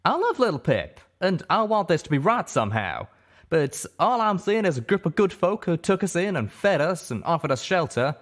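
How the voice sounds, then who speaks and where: A man's voice: high voice, sing-song, normal speed. A man voicing a distraught male pegasus pony in an audio story, insistent and folksy. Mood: upset, demanding.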